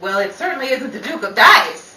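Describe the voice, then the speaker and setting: Distant, roomy, noisy radio-play recording of a woman acting a high-voiced Broadway leading lady from the 1930s. She is brassy, bossy and theatrical, swooping through exasperated wisecracks as she runs rehearsals. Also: sing-song, brisk, pushed and bright, heavily acted. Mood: boastful, snappy.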